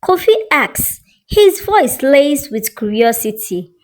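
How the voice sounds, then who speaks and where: Woman narrator for suspenseful story. Unhurried delivery, wide swings in pitch, expressive. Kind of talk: scripted dramatic story narration.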